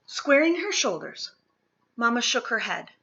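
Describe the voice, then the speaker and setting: Bright-voiced, husky woman reading a storybook. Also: fairly high pitch, sing-song, unhurried, highs cut off. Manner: dramatic, with emphatic swells and hushed drops. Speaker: storybook reader. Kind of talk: expressive read-aloud of children's historical fiction.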